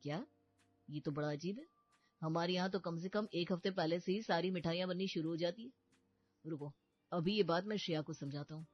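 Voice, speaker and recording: A woman's voice: on the low side, brisk, highs cut off, lightly acted. A teasing young prankster husband, done by a woman. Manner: jokey, teasing, laughing.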